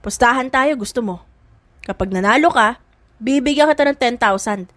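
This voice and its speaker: A woman's voice: a little high, normal speed, a bit bright, dry recording, close to an everyday voice. A woman voicing a crude, smirking young male bully in an audiobook drama, taunting as he speaks.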